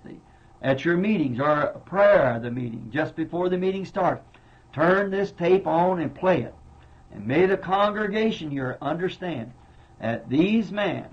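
Male pastor, Southern American accent, fairly high voice, earnest plain-spoken sermon, dull lo-fi old church tape. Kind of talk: sermon answering congregation questions. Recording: dull lo-fi 1960s tape recording.

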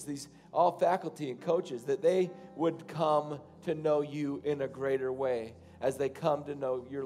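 A man in his thirties, a pastor, preaching and then praying over his congregation from a church stage, with loose, halting phrasing that rises to fervent prayer. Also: fairly high pitch, darkish tone. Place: large church stage, indoors.

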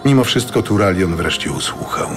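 Low-voiced male audiobook narrator. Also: animated, fairly quick, a bit bright. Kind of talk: scripted dramatic audiobook narration. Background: music.

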